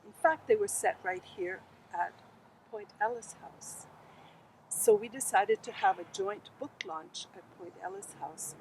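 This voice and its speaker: Lively, creaky-voiced woman introducing a book launch. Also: medium pitch, measured pace, a little hiss or hum, low-quality recording. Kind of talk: informal spoken introduction.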